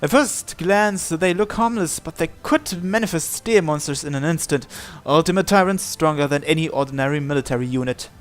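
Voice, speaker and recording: A man's voice: on the high side, a little fast, few pauses. A man reading visual novel narration and dialogue aloud.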